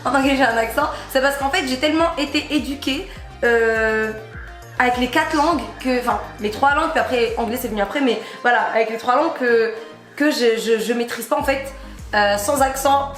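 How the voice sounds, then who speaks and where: A woman's voice: a little high, brisk, echoey room, soft background music. A young woman from Algeria with a slight Algerian accent, chatting casually and laughing often as a vlogger. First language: Kabyle or Arabic. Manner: bubbly, laughs often, reads report card aloud. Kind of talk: casual chat.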